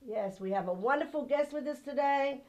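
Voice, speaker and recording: A woman's voice: high-pitched, fairly quick, darkish tone. Bubbly woman hosting local TV. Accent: non-American English. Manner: bubbly, gushing, talks to viewers as folks. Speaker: host of a local TV show. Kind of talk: chatty TV interview.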